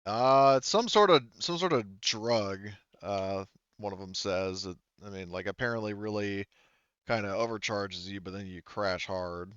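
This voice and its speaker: A man running a tabletop roleplaying game as game master, narrating off the cuff in a halting, think-aloud way. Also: fairly low pitch, fairly bright tone, clear, resonant tone, no room sound, highs cut off.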